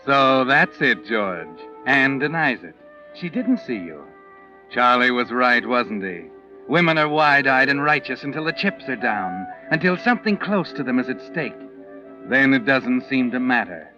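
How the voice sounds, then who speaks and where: Ominous radio mystery narrator, muffled. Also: male voice, medium pitch, sing-song, a little slow. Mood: knowing, mocking. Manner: brooding, knowing, second-person telling. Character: the Whistler, ominous unseen narrator taunting the accused. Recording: muffled vintage 1940s radio recording, loud music.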